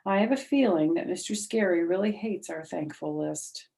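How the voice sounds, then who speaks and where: A woman's voice: on the low side, moderate pace, gravelly, mellow. A young schoolboy in a children's storybook, voiced by a woman. He talks plainly and matter-of-factly to his classmates. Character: laid-back, matter-of-fact young schoolboy.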